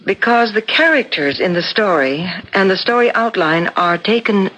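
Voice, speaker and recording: A woman's voice: mid-range voice, expressive, moderate pace, a bit bright. An actress playing a determined, probing married woman in a 1970s radio drama. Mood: purposeful, confiding. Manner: insistent, probing. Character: determined married woman, doubting her best friend's suicide. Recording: noisy vintage radio broadcast.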